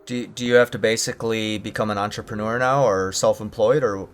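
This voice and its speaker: A man's voice: lowish voice, fairly even intonation, brisk, slight background noise. A man hosting a casual interview, asking short questions and murmuring agreement while listening. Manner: brief questions and quiet murmured backchannels. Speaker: host.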